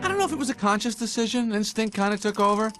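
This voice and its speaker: An actor playing a plucky, wisecracking young honeybee in a cartoon video game, with a wry, dramatic delivery. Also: male voice, high-pitched, lively intonation, rapid-fire, over music. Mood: wry, boastful. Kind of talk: animated video game voice acting.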